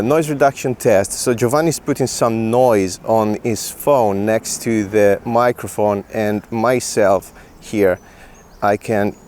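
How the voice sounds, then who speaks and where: A man's voice: lowish voice, darkish tone, a little hiss or hum. A man hosting a casual gear review, talking informally and directly to viewers. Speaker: host reviewing film gear. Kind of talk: casual product review chat.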